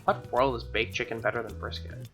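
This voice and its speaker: Bright, forceful streamer reading with music behind. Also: medium pitch, steady tone, fairly quick. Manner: slangy, exclaiming, opinionated asides. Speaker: streamer reading a visual novel aloud. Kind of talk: casual reading of narration with offhand commentary.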